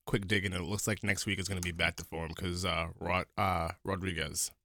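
A man's voice: deep voice, little pitch movement, a little fast, pushed and bright, no room sound. A man co-hosting a podcast, giving blunt opinions in casual chat and repeating himself for emphasis. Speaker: podcast co-host.

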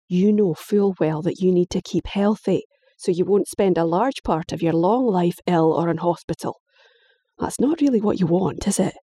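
A woman's voice: lowish voice, moderate pace, mellow, slightly rough, dry recording, clean studio sound. A woman voicing a stern, weary middle-aged father, lecturing patiently but firmly.

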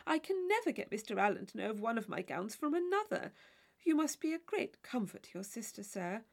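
Woman acting a high-pitched, fussy chattering lady. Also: sing-song, brisk, creaky, heavily acted. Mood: impressed. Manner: gushing, rambling, easily impressed. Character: fussy, chatty married lady, dress-obsessed. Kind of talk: audiobook character dialogue.

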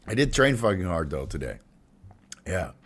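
A young man hosting a casual livestream Q&A, joking and swearing freely, with his voice turning hoarse and stuffy. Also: low-pitched. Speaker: host answering viewer questions.

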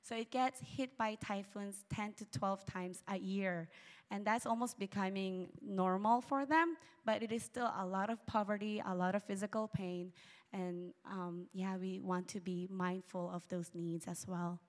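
A woman whose first language is Tagalog speaks in earnest, emphatic phrases as a missionary presenting her work. Her pitch sits in the middle range. Her voice carries a long reverb from a large hall. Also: steady tone, measured pace.

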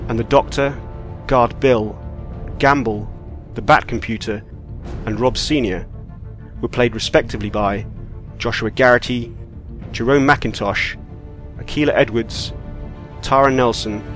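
A man delivers scripted end credits as an announcer. His pitch is average for a man. Music plays softly behind him. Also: a little slow. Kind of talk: scripted narration, reading credits. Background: faint music.

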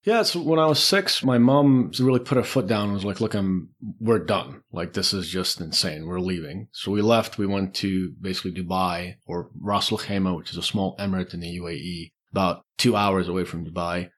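A man's voice: low voice, moderate pace, few pauses. A man in his forties, a podcast guest casually telling personal stories in an informal way, acting out remembered thoughts as he goes. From Lebanon, Czech Republic and the UAE. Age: 40s.

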